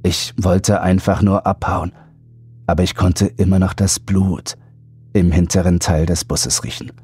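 Deep-voiced man narrating horror. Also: flat delivery, unhurried, darkish tone, slightly rough, soft background music. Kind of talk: dramatic scripted first-person narration.

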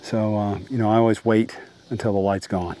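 A man's voice: lowish voice, flat delivery, brisk, dark tone. A middle-aged man vlogging landscape photography tips outdoors at dusk, talking casually with lots of fillers and restarts.

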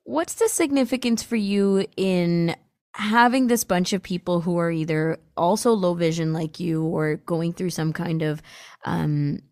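A woman hosting an interview, asking gentle, reflective questions. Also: fairly low pitch, no room sound, clean studio sound. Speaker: guest host. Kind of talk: interview conversation.

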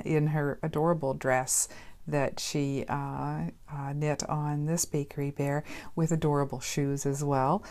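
Brief: middle-aged Canadian woman, low mellow voice, chatty podcast host at home. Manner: chatty, with many ums and uhs. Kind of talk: casual podcast chat. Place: home craft studio, indoors.

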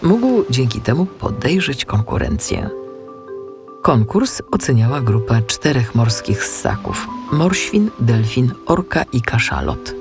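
A man narrating a children's story as an audiobook, with expressive scripted delivery. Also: medium pitch, slow pace, music in the background.

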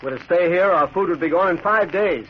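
An actor playing a decent, worried traveler in a 1940s radio drama, pleading urgently and earnestly. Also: male voice, high-pitched, fairly quick, natural voice. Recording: dull old radio broadcast with hiss.

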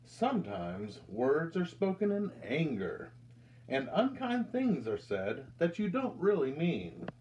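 An American man in his thirties reads a picture book aloud to children with deliberate, expressive storytelling delivery. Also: fairly high pitch, muffled recording, a little hiss or hum. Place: indoors, small room with curtain backdrop.

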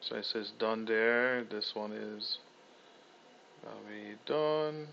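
A young man casually talking through a software tutorial, thinking aloud with fillers and restarts. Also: on the low side, takes it slowly, vocal fry, a little hiss or hum. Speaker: tutorial host. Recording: slightly dull lo-fi audio.

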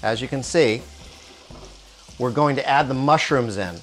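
A man in his forties hosting a cooking show, explaining each step calmly in a home kitchen with small restarts, on a lapel mic. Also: mid-range voice, a little slow. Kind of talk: cooking demonstration. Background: soft music and sizzling wok.

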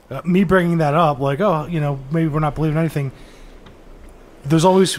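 A man co-hosting a podcast, chatting casually in an easygoing, joking way. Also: on the high side. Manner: easygoing, joking, quick agreeing replies. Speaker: podcast co-host. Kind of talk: casual chat.

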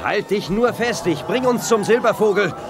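Young male adventurer for 1980s sci-fi radio drama: brave, commanding, highish voice, lively intonation. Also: moderate pace, crowd noise. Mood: urgent, alarmed. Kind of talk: German 1980s sci-fi radio play acting.

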